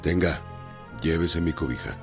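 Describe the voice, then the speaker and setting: An actor playing a gruff, defiant revolutionary bandit colonel, blunt and laconic, in a radio drama. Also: male voice, very deep voice, unhurried, soft background music. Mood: mocking calm.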